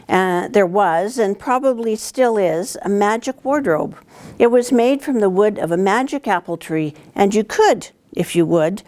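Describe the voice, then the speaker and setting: An older woman reads a picture book aloud as a guest storyteller, in an expressive, deliberate way. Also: medium pitch. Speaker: town councillor reading to students. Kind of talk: read-aloud storybook narration. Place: indoors, library corner with bookshelves.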